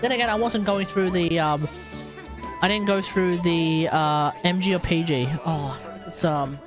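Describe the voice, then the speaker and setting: A man chatting casually and excitably on a gaming livestream, rambling and correcting himself as he goes. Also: high voice, a little slow, music in the background. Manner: animated, rambling, exclamatory, self-correcting. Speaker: live streamer.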